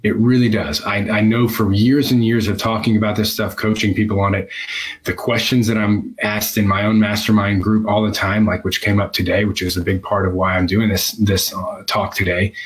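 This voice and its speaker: Low-pitched man coaching, emphatic. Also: rough recording. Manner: emphatic, repeats key phrases. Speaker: business coach for physical therapists. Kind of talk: conversational instructional video talk to camera.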